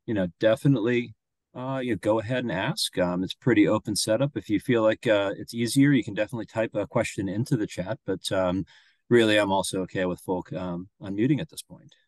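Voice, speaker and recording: A man in his thirties hosting an online reading Q and A, talking casually with lots of fillers and pauses, on a video call from a home office. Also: lowish voice, darkish tone, no room sound. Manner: casual, filler-heavy, halting. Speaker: host of a reading event. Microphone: video call webcam.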